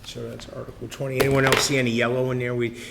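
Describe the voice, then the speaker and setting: A man's voice: medium pitch, little pitch movement, fast talker, vocal fry. A man chairing a town finance committee meeting, chatty and thinking aloud. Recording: public access meeting recording with faint noise.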